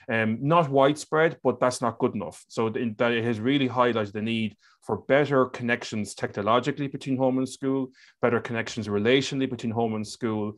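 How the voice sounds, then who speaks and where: Middle-aged man, Irish accent, medium pitch, emphatic delivery, panel talk, headset on a video call. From Ireland. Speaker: education leader, webinar panelist.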